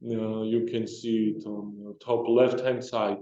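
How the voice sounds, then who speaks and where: Halting man presenting in dull, roomy audio. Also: fairly low pitch, level tone, mellow. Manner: halting, hesitant with frequent broken-off phrases. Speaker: company executive presenter. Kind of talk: financial results presentation. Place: conference call.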